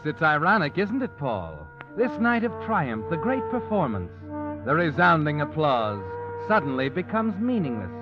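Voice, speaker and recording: A man's voice: mid-range voice, close to an everyday voice. A man as the Whistler, ominous radio narrator. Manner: addresses the hero directly, insinuating. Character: the Whistler, brooding omniscient male narrator. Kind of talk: 1940s radio drama narration. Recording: dull old radio broadcast with music.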